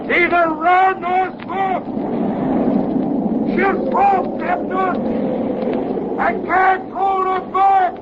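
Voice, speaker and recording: An actor in a 1930s radio drama playing a desperate seaman, straining out drawn-out shouts. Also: very high-pitched, little pitch movement, exaggerated voice. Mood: desperate, shouting. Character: desperate seaman shouting across a stormy sea. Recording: dull, very noisy 1938 radio recording.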